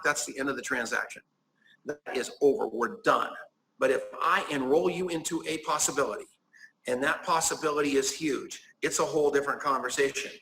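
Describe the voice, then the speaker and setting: A man in his sixties with a gravelly, bright edge to his voice, emphatic and a little preachy, driving his points home through repetition. His pitch sits in the middle, and faint ambience hangs behind him. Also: a little hiss or hum, poor audio. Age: 60s. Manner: emphatic, preachy, repeats key phrases. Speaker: guest, business owner. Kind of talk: enthusiastic conversational pitch.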